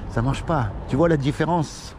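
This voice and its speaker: A man's voice: on the high side, moderate pace, noisy background. A man from Morocco doing casual one-on-one street preaching, earnest and pleading, full of everyday examples. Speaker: street evangelist. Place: outdoors on a city street.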